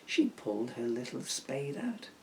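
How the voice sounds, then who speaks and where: A man's voice: medium pitch, very animated, unhurried, darkish tone, a little hiss or hum, poor audio. A middle-aged man reading a children's story poem in a theatrical, jokey way, with chatty asides. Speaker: storybook reader and author.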